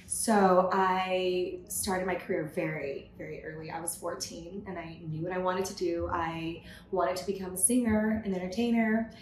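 A woman in her thirties, a guest in a podcast interview, who talks in an animated way, laughs often and acts out her own quotes. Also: fairly low pitch, moderate pace, hardly any pauses. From United States. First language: Thai. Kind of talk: casual interview talk. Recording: echoey room, faint noise.

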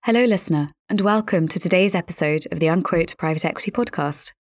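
A woman speaking as a podcast host, measured and articulate, in a presenting and interviewing style. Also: fairly low pitch, no echo, studio quality, faint music behind. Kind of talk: scripted podcast narration and interviewing.

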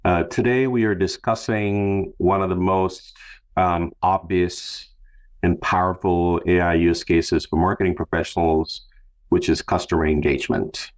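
A male podcast host with a low voice. He speaks in a conversational way, as one does when introducing topics and putting questions to a guest. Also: steady tone, measured pace. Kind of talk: conversational interview hosting.

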